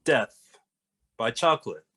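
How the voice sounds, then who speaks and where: A man's voice: medium pitch, sing-song, measured pace, a bit bright, breathy edge, heavily acted. Man playing stern, serious young cartoon detective. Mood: indignant. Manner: formal, stern. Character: serious, disapproving young male detective, straight-man twin brother. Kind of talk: animated comedy table read.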